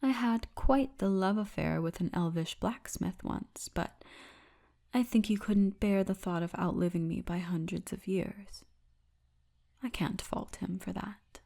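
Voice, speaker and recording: A woman voicing a friendly female orc tour guide in an intimate ASMR roleplay, speaking softly and often breathily. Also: medium pitch. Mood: teasing, wistful. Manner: soft, intimate, unhurried storytelling, often breathy. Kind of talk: ASMR roleplay, addressed to the listener.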